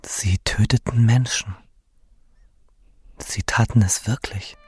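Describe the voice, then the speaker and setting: Deep, husky man a haunted captive. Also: fairly even intonation, takes it slowly, darkish tone, full character voice. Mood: bleak, haunted. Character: male captive awaiting execution, parched, grave, haunted survivor. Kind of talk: first-person radio drama monologue.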